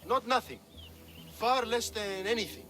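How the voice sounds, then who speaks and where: A man's voice: high-pitched, unhurried, music in the background. A man playing a cowardly, bumbling guard in a video game, hesitant and blustering as he corrects himself. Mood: evasive bluster.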